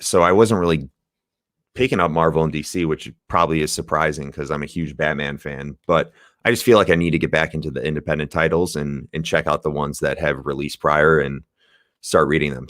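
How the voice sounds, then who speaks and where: A young man with a very deep, raspy voice, talking in a loose, rambling way and swearing now and then. The sound is dry and close, with no room echo. He comes across as a casual host chatting on a livestream. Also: fairly bright tone. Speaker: podcast host.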